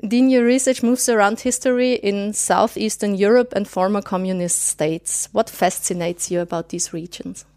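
A woman's voice: medium pitch, fairly even intonation, normal speed, few pauses, mellow, no room sound. A woman hosting a podcast interview, introducing her guest politely and formally. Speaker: podcast host.

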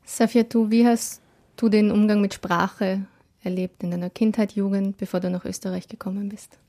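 Flat-voiced woman moderating a panel. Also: medium pitch, moderate pace. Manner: calm, even questioning.

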